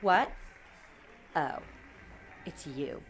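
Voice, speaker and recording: A woman performs a nasty beauty pageant queen in a comedic audio drama. She is haughty and sneering, with slow, drawn-out phrasing that swoops widely in pitch. Her talk is sprinkled with "like". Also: animated, a little hiss or hum, full character voice. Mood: disdainful. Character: snobbish, nasty female beauty pageant contestant.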